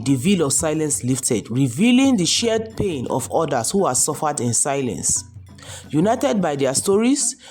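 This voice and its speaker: A woman's voice: sits low, a little mellow. A woman storyteller reading a scripted folktale narration. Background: music behind the voice.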